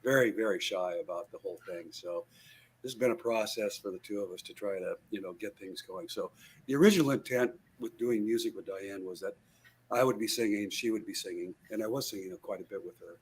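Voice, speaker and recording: A man's voice: on the low side, a little fast, a little hiss or hum. A man in his sixties, a guitarist guest in an interview, telling his story in a rambling way with many fillers and restarts over a video call. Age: 60s.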